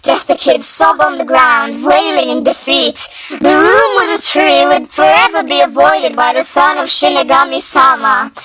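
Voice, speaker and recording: A woman's voice: sits high, animated, moderate pace, creaky, over music, rough recording. A woman narrating fanfiction in a dramatic, mock-serious storytelling style. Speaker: fanfiction reader.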